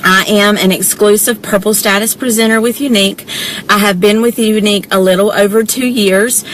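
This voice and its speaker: A chatty woman with a Southern drawl from deep South Georgia, talking into her phone from a parked car. She greets viewers one by one in a friendly, easygoing way and sprinkles y'all through everything. Faint background noise sits under her voice. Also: rough recording. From South Georgia, USA. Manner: chatty, warm greetings, frequent y'all, laughs often. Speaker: direct-sales presenter hosting a live stream. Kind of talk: casual livestream chat greeting viewers. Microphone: phone held or mounted in car.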